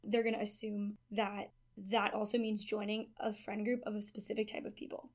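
A twenty-year-old woman talks in an earnest, thoughtful way while answering interview questions. She is a student. Her voice has an ordinary middle pitch. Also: little pitch movement, moderate pace, a little mellow. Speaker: theology student.

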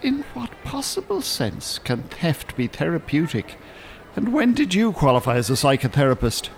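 An actor playing a bitter, pedantic older Irish retired English teacher in an audio drama, dry and well-spoken. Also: male voice, on the high side, very animated, normal speed, a little hiss or hum. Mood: sceptical.

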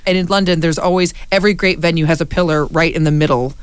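A loud, deep-voiced woman chats in a radio studio, stumbling through fillers and restarts. Her tone is smooth and resonant, and the studio sound is close and dry. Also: fast talker, no echo. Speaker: community radio station staff. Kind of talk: casual radio conversation.

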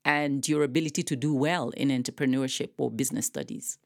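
Female interviewer, low register, emphatic and encouraging, conversational podcast delivery. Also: steady tone, brisk.

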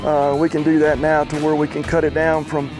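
A man's voice: a little high, monotone, a little fast, over music. A man, a racehorse trainer, talking plainly and matter-of-factly in an interview clip.

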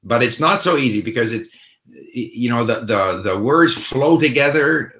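Man, middle-aged, bright clear voice, casual chatty Q&A, lots of fillers, echoey room. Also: mid-range voice, expressive, moderate pace. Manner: thoughtful, conversational, frequent fillers and restarts. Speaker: language-learning host answering viewer questions. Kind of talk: casual live Q&A. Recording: roomy echo.

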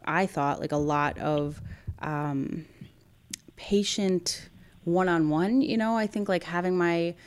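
A woman's voice: lowish voice, takes it slowly, clear, resonant tone. A woman from Brooklyn, an EMS worker talking casually and hesitantly, with many fillers and restarts. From Brooklyn, New York. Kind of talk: casual podcast conversation.

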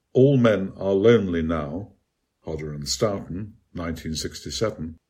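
A man with a low-pitched voice narrates a scripted literary essay. His tone is noticeably husky and a little rough-edged. He reads in a measured, bookish manner, as an author presenting his own writing. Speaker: author reading own article.

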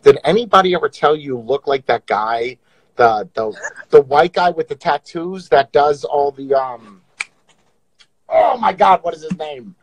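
A man runs a casual livestream, teasing his callers with crude jokes. His voice sits a little high for a man. The sound is dry and close, with no room behind it. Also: lively intonation, unhurried, husky, no room sound, low-quality recording. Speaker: livestream host. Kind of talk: casual livestream chat with viewers.

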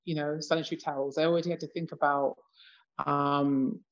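A woman's voice: deep voice, little pitch movement, a little fast, dull audio. A British woman in her fifties talking reflectively in a casual conversation, with many "you know" asides. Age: 50s. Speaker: discussion participant.

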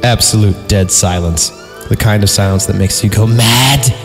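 Male podcast host, lowish voice, tongue-in-cheek creepy-story reader, sarcastic and informal, heavy reverb with music underneath. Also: moderate pace. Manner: sarcastic, breaking off from narration into giggly asides. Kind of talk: mocking dramatic story reading with comic asides. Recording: reverberant voice over background music.

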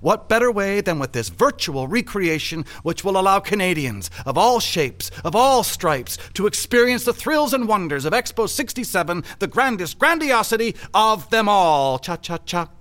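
A man's voice: high-pitched, sing-song, normal speed, hardly any pauses, a bit bright. A man acting as a glib, cavalier government bureaucrat in a satirical audio drama, wordy, mock-grandiose and evasive. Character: glib, cavalier male government arts bureaucrat, former actor.

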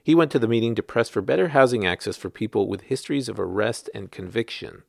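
A man's voice: lowish voice, fast, gravelly, a little mellow, no echo, studio quality. A man working as a journalist, reading his written article aloud as scripted narration.

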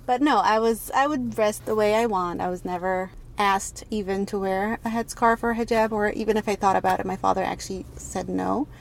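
A woman's voice: mid-range voice, fairly even intonation, brisk, creaky, hardly any pauses, poor audio. A young adult woman from Egypt, chatting candidly as a podcast guest, laughing often and restarting her sentences. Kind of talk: casual interview chat.

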